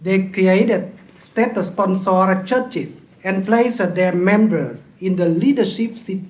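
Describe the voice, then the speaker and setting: Slow-speaking Vietnamese priest, high voice. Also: male voice, darkish tone. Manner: slow and deliberate, formal. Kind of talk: prepared conference speech. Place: large hotel conference hall. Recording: echoey hall.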